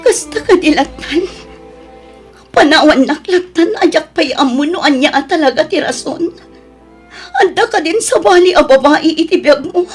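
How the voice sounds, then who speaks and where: A woman's voice: high-pitched, animated, moderate pace, soft background music. A woman with an Ilocano accent playing a hurt, pleading wife in an audio drama.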